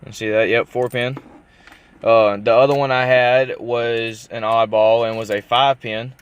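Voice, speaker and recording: Male vlogger, mid-pitched and clean-voiced, informal walk-through delivery, unscripted. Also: flat delivery, measured pace, clean tone. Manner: informal, unscripted, thinking aloud as he goes. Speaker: car vlogger. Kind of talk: casual show-and-tell vlog.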